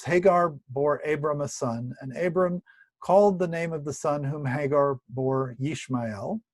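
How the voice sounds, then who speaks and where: A man with a slightly scratchy, tired voice talks through a relaxed Bible study from his home, full of asides, fillers and restarts. The sound is dry and close. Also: medium pitch, dry recording. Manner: chatty, warm asides with many fillers and restarts. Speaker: pastor. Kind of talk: casual Bible study talk. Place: at home, indoors. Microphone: video recording at home.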